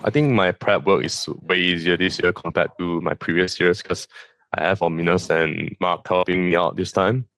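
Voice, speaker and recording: A man's voice: low voice, fairly even intonation, normal speed, a bit bright, dry recording. A man whose first language is Chinese, an esports coach from Southeast Asia, talking casually in an interview and often repeating words as he recounts things. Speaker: esports coach, podcast guest.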